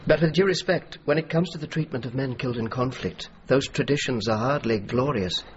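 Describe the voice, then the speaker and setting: A man acting a determined male army officer in a radio drama. His mid-pitched voice is formal and measured, always persuasive. The recording is dull, with some noise. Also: lightly acted. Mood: earnest, persuasive. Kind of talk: radio drama dialogue and narration.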